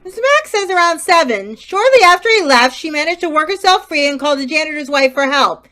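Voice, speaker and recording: Woman playing a very high, bright, earnest police inspector. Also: expressive, a little fast. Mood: earnest, determined. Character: earnest police inspector and pleading robbery victim. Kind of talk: old-time radio crime drama acting.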